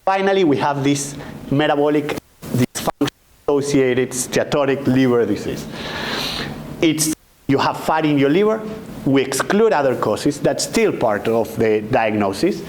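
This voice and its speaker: A man's voice: medium pitch, a little slow, rough recording. Man, 40s, Argentinian accent, doctor running an informal patient talk, handheld mic, conversational, slight room noise. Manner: relaxed, conversational, checks in with "okay?" and "right?". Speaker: doctor giving a health talk. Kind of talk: informal patient education lecture. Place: conference room, indoors. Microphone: handheld microphone.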